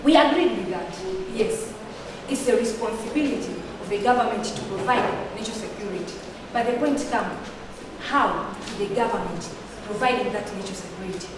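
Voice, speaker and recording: A woman's voice: medium pitch, a little fast, noisy background. A teenage girl from Tanzania, a Swahili speaker, giving an earnest, argumentative debate speech full of rhetorical questions. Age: teens. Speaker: student debater. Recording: echoey hall, picked up from far off.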